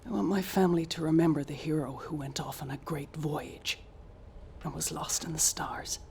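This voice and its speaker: Stoic, clipped mission commander. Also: female voice, low-pitched, steady tone, normal speed, creaky, darkish tone, slight background noise. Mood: anguished. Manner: clipped, controlled, formal. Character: stoic female space mission commander, guilt-ridden, proud. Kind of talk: naturalistic audio drama acting.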